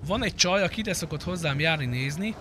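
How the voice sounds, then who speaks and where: A man's voice: on the high side, animated. A man giving casual live commentary on a roleplay game, chatting in slang and swearing as he addresses his viewers and friends. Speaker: game streamer. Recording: clearly noisy background.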